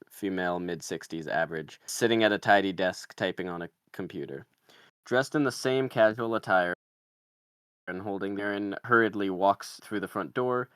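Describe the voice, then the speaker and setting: A man's voice: low voice, fairly bright tone, dry recording. A man narrating screenplay stage directions as a scripted read.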